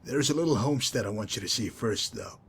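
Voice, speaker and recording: A man's voice: mid-range voice, quick pace, vocal fry, fairly bright tone, a little hiss or hum. A man voicing a sardonic, menacing enforcer in a video game, wry, wordy and mockingly polite. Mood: approving, calm. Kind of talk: scripted video game dialogue.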